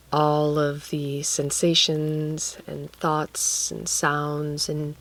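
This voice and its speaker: A woman guiding a relaxation meditation, speaking gently and leaving long pauses between phrases. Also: deep voice. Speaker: guided meditation teacher.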